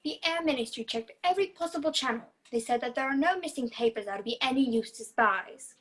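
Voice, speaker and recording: A woman acting a feisty, sharp-tongued young woman who reluctantly helps a fugitive, in a radio play over a video call. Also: high-pitched, expressive, fast talker, no echo. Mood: apologetic. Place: video call, dry room. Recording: muffled video-call audio.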